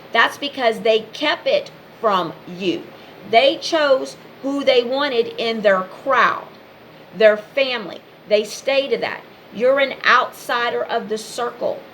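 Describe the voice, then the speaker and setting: A woman's voice: fairly high pitch, a bit bright, slight background noise, rough recording. A woman giving an impassioned, informal monologue straight to the listener, speaking in emphatic, repetitive short phrases.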